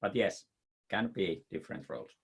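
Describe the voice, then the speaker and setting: A man's voice: deep voice, lively intonation, moderate pace, gravelly, breathy edge. A man from Finland with a Finnish accent, answering audience questions in a webinar with frequent fillers and restarts. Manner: hesitant, many fillers and restarts.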